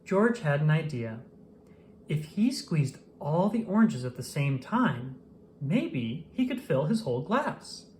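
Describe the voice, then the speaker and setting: A person reading a children's storybook aloud in an expressive, animated way with dramatic emphasis. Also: mid-range voice, slow, slight background noise. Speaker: children's book reader.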